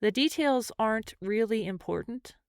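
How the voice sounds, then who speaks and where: Smooth-voiced woman guiding a meditation. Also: fairly high pitch, animated, no echo, clean studio sound. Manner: gentle, measured, with long pauses between phrases. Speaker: mindfulness and meditation teacher. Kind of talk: guided meditation narration.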